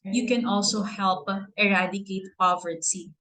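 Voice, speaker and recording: A woman with a Filipino accent speaks earnestly in a mid-pitched voice. She talks like a motivational speaker, with a gentle preachiness, and she stops now and then to ask her listeners rhetorical questions. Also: steady tone, moderate pace, rough recording. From Philippines. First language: Tagalog. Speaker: guest speaker. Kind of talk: motivational talk.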